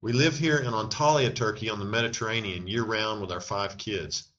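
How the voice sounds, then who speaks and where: A middle-aged man from Texas with a Texan accent, a travel agency owner reading a smooth promotional message without fillers. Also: on the low side, brisk, fairly bright tone, highs cut off.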